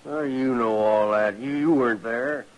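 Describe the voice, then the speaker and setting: An American actor playing a surly, defiant rancher, gruff and belligerent, in an old-time radio western. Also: male voice, mid-range voice, moderate pace, highs cut off, natural voice. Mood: hostile, dismissive.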